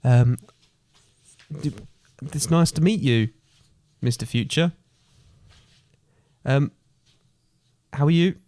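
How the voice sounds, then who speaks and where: A man's voice: medium pitch, slow pace, long pauses, mellow, no room sound, lightly acted. A man playing a bumbling, puzzled miser in an improvised comic amateur pantomime, rambling hesitantly in an everyday voice. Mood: rambling, tired. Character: chatty, bumbling Scrooge, tired and puzzled.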